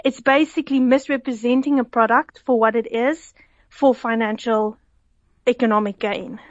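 A woman's voice: on the high side, fairly even intonation, normal speed, no echo. A South African woman, a food fraud researcher, speaking as a guest in a radio phone interview, explaining at length with restarts and often giggling. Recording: dull phone line.

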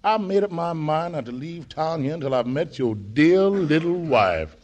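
An actor voices a dignified, genteel older uncle. He speaks politely and asks pointed questions, his voice moving in lively curves. It is an old radio broadcast recording. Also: a little high, moderate pace, highs cut off, natural voice. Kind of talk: 1940s radio comedy acting.

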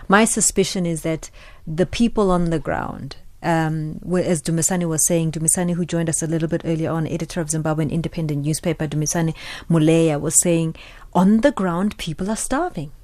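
A woman's voice: low voice, a little fast, darkish tone, no room sound. A woman hosting a radio talk show who clarifies and restates what her guests are saying. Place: radio studio.

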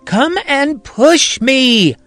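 A man voicing a grown man who acts like a little girl, speaking in a high, drawn-out, childish whine. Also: expressive, bright, forceful tone, no room sound, soft background music, heavily acted. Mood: whiny demanding.

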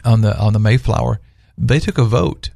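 A man's voice: mid-range voice, normal speed, vocal fry, mellow, no room sound, clean studio sound. A man speaking as a guest on a radio talk panel, earnestly telling stories and posing rhetorical questions in conversational religious discussion.

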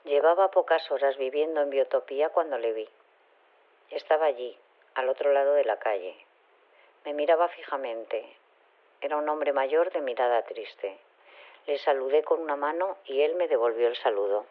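A man's voice: mid-range voice, normal speed, lightly acted. An actor playing a solemn man who tells an eerie first-person story in an audio drama. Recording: narrow phone-like sound.

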